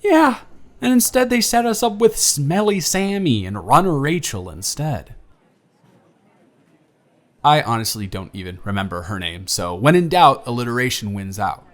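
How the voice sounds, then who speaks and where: A man's voice: medium pitch, moderate pace, close to an everyday voice. A man playing a giddy, smitten young man on a blind date, bantering playfully in a one-sided romantic audio roleplay. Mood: excited, playful. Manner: animated, bantering, playful storytelling with stammers.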